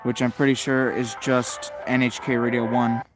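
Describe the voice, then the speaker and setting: A man's voice: mid-range voice, little pitch movement, moderate pace, over music, low-quality recording. A man giving casual, off-the-cuff commentary as a radio hobbyist, hesitant and rambling, often correcting himself. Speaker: shortwave radio hobbyist narrating a tuning session.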